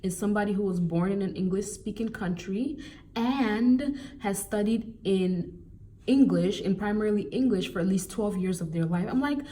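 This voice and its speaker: A young woman from Cameroon talks casually to her viewers, chatty and animated with flashes of exasperation. Her voice is mellow and relaxed. She sits a little back from the mic, with a faint noise underneath. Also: medium pitch, normal speed, far from the mic. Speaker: vlogger. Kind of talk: casual advice vlog.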